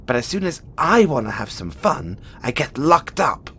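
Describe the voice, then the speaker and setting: A man's voice: medium pitch, sing-song, moderate pace, a little hiss or hum. A man playing a huge, imprisoned experiment in an audio roleplay, cocky and bitter, teasing and volatile. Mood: bitter, boastful. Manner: teasing, swaggering, swinging from soft murmurs to loud outbursts. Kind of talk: intimate audio roleplay monologue addressed to the listener.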